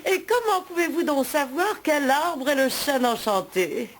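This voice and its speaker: A woman voicing a brisk, polite young mother in a French cartoon dub, teasing and sceptical. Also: high-pitched, lively intonation, background noise. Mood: amused disbelief.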